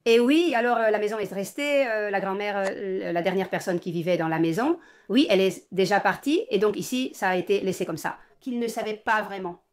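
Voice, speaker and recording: A woman who runs a small shop, telling visitors its history with frequent pauses and "euh". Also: medium pitch. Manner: explains with many hesitations. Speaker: shop owner. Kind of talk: casual explanation to visitors.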